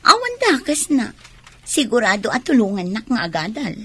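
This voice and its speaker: Husky, high-voiced scheming young Filipino woman. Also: huge pitch swings, normal speed, sharp tone, full character voice. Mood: casual. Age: young adult. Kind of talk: Ilocano radio drama acting.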